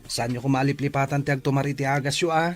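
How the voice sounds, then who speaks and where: An actor playing a dutiful young grandson in a radio drama. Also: male voice, medium pitch, fairly even intonation, fast, no room sound, close to an everyday voice. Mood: caring. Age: young adult. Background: music.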